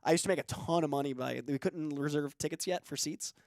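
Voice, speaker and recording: A man's voice: fairly high pitch, fast talker, creaky, darkish tone, dry recording, studio quality. A man in his thirties, a co-host chatting casually and a little self-deprecatingly while still getting over an illness. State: recovering from illness. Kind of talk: casual chat.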